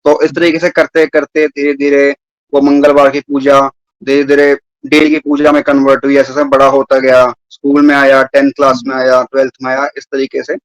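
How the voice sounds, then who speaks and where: A man's voice: mid-range voice, completely flat delivery, normal speed, no room sound, poor audio. A man from New Delhi in his thirties, telling his personal story earnestly and at length as a guest on a video call. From New Delhi, India. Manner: earnest, rambling, mixes English words into speech.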